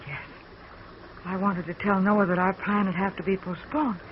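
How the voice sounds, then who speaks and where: A woman's voice: mid-range voice, fairly even intonation, normal speed, close to an everyday voice. A woman playing a frightened young woman. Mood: anxious. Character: frightened young woman caught in a murder plot. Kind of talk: 1940s radio acting. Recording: dull old radio broadcast with faint hiss.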